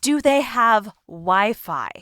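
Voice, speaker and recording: A woman's voice: a little high, expressive, slow pace, fairly bright tone, no room sound, studio quality. An actress playing a curious, playfully skeptical human woman in an audio drama, rambling and wry in a naturalistic way. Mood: delighted, teasing.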